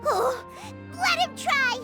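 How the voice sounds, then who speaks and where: A woman voicing a tiny, cute, childlike companion creature in a video game, squeaky, cheeky and exaggerated. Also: slow, creaky, heavily acted. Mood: worried, defiant. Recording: loud music behind the voice.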